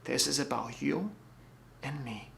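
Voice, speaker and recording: A man's voice: medium pitch, a little slow, vocal fry, a bit bright, slight background noise. A man playing a hurt, jealous young fiancé in a romantic drama monologue, earnest and insistent. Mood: pleading. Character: wounded, jealous young fiancé, pleading yet proud.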